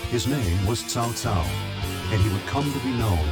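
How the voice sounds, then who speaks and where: A man narrating a video game intro in a solemn, measured storytelling manner over music. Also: medium pitch, expressive.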